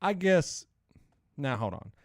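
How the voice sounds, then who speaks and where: Co-host, male, casual and teasing, bursts into excited highs; dry booth sound. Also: takes it slowly, no room sound. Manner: animated, teasing, jumps to excited outbursts. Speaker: podcast co-host. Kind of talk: casual chat.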